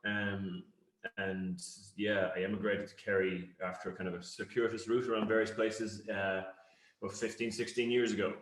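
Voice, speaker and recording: Middle-aged Cork Irish man as interview guest, lowish voice, reflective and halting delivery, roomy echoing sound. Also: flat delivery, slight background noise. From Cork, Ireland. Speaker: interview guest, company founder. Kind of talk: conversational interview answers.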